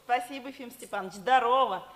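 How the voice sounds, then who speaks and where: Actress as bright-voiced gentle officer's wife. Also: female voice, fairly high pitch, fast talker, close to an everyday voice. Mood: friendly. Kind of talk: 1950s Soviet stage radio drama acting.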